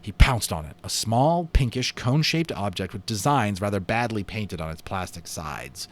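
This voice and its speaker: A man's voice: fairly low pitch, animated, normal speed. A man reading a children's story aloud with enthusiastic, dramatic expression and casual asides. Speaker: amateur voice actor reading a children's book aloud.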